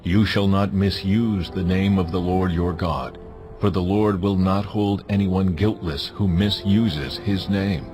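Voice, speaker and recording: A man's voice: sits low, fairly even intonation. A man playing a stern, majestic deity who gives solemn, authoritative commands in a dramatized scripture production. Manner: solemn, authoritative, deliberate phrasing. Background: music.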